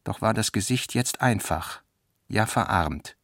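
A man reading literary narration for a radio play in a measured, carefully articulated way. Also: lowish voice, animated, fairly bright tone, no echo, clean studio sound.

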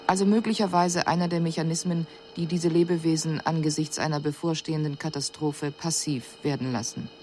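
A woman acts a female alien observer in a radio drama, with a lowish voice. She reports formally and with detachment over faint background noise. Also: steady tone, darkish tone, lightly acted. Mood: clinical. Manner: measured, detached. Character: analytical alien envoy reporting on puzzling humans.

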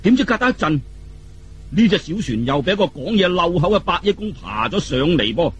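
Animated, high-voiced male Cantonese storyteller. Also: brisk, husky, soft background music. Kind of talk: animated traditional oral storytelling, colloquial.

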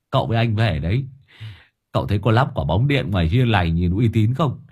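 A man's voice: fairly low pitch, animated, moderate pace, a little mellow, slightly rough, lo-fi sound. A man voicing a dim-witted, cheerful young servant who stammers out excuses. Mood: boastful.

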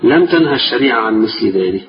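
A man with an Egyptian accent teaching a religious lesson. His voice is tired and strained. He reads a text slowly, then explains it in colloquial speech and repeats the key phrases. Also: mid-range voice, animated, crowd noise. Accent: Egyptian Arabic. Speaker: sheikh teaching a class. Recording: distant, echoey, dull-sounding lecture recording with music.

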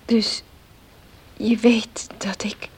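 An actress playing a gentle, devout, ailing wife in a period radio drama, speaking softly and solemnly with a frail, breathy voice. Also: female voice, mid-range voice, level tone, full character voice. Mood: weak, quiet. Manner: slow, soft, tender, solemn.

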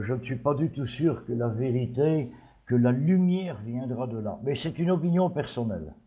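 Casting: Belgian-born man, mellow voice, halting delivery, lo-fi recording. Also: medium pitch. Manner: hesitant, many fillers and restarts. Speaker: panel guest. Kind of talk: opinionated casual discussion.

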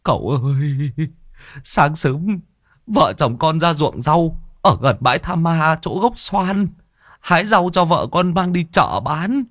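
Man acting a high, sobbing, frantic village man. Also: expressive, slow, fairly bright tone, dry recording, clean studio sound, heavily acted. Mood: tearful pleading. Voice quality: high, tearful voice. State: crying. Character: frantic weeping village man begging for help.

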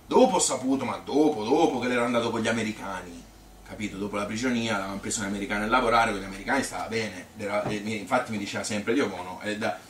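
A man's voice: on the low side, rapid-fire, close to an everyday voice. A man playing an old Tuscan grandmother who reminisces in a rambling, colloquial monologue, chatty, feisty and devoted to her husband. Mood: animated recollection. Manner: rambling, colloquial, repetitive, with little interjections. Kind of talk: spontaneous-sounding theatrical monologue. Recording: echoey, distant, noisy stage recording.

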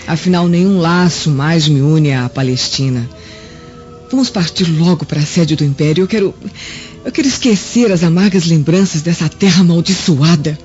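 An actress playing a bitter, haughty Roman wife in a Brazilian radio drama, with an emphatic, melodramatic delivery. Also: female voice, low voice, moderate pace, a little mellow, lightly acted. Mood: bitter, aggrieved. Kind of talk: radio drama acting in Portuguese. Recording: dull lo-fi radio recording, music behind.

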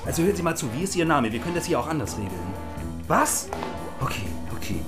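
A man's voice: on the high side, sing-song, quick pace, over music. A man acting in a German radio drama as a cynical, hot-tempered radio reporter in his thirties, pompous and given to coarse cursing. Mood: furious, shouting. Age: 30s.

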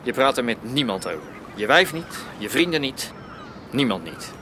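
Actor as terse gangster over noisy ambience. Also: male voice, mid-range voice, sing-song, moderate pace, close to an everyday voice. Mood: firm, businesslike. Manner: terse, commanding, matter-of-fact. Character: cool, businesslike gangster arranging smuggling deals. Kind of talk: Dutch radio drama acting. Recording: clear ambient noise behind voice.

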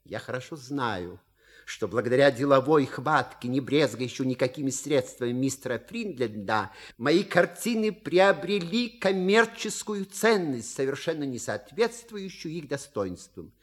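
An actor playing a suave, wry manservant with polite, measured, theatrical delivery in a stage comedy audio play. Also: male voice, medium pitch, animated. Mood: declaiming, wry. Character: suave, wry manservant reading out a scandalous letter.

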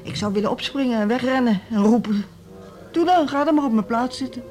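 An actress playing a disillusioned older music teacher in a radio drama, brooding and bitter. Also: female voice, fairly high pitch, fairly quick, darkish tone, natural voice. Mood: bitter, weary. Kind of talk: Dutch radio drama acting.

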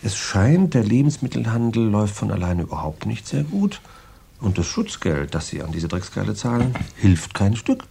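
An actor playing a boastful, tough former heavyweight boxer in a radio crime drama, rambling in slangy, gravelly talk with swagger. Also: male voice, fairly low pitch, big pitch swings, moderate pace, mellow, slight background noise. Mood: confiding. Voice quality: gravelly, husky.

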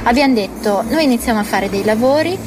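A woman being interviewed about starting her own work, explaining in a brisk, casual way. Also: fairly high pitch, expressive, over music. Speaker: interviewee, young entrepreneur. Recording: noisy location recording.

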